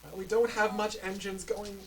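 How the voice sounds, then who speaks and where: A man narrating as game master of a tabletop role-playing game, describing scenes in a relaxed, rambling way. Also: high voice, moderate pace. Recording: mic a bit far, faint noise.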